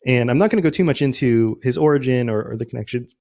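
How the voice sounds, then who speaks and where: A man's voice: mid-range voice, fast talker, a little mellow. A man chatting informally and casually as a podcast host about game lore, often chuckling.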